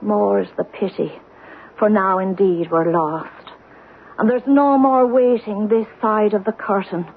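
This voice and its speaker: A woman's voice: medium pitch, a little slow, breathy edge. An actress playing a tiny, doleful old Irish cottage woman, mysterious and insistent, in a lilting archaic dialect for an old-time radio horror drama. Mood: doleful, grave. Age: older. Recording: tinny old radio broadcast, faint noise.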